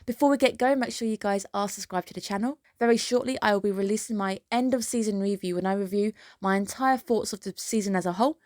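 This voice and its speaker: A woman's voice: medium pitch, quick pace, few pauses, darkish tone, no room sound, clean studio sound. A woman, a little under the weather, chatting casually and opinionatedly through an anime episode breakdown as the channel's host. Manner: opinionated, chatty, emphatic dislikes. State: slightly ill, under the weather.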